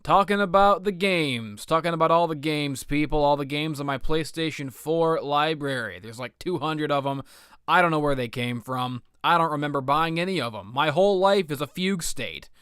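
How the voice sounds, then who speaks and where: A man with a slightly high, bright voice hosts a rambling comedic show about video games. He is sarcastic and melodramatic, putting himself down as he goes. The sound is clean and dry. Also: moderate pace, clear, resonant tone, no echo. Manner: self-deprecating, melodramatic, sarcastic, swearing. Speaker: video game commentator, series host. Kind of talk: rambling comedic game commentary.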